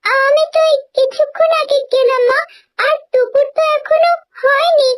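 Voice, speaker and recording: A woman's voice: very high-pitched, steady tone, normal speed, slight background noise. A woman voicing a meek, mistreated young village girl in a cartoon fairy tale, timid and plaintive. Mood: meek protest.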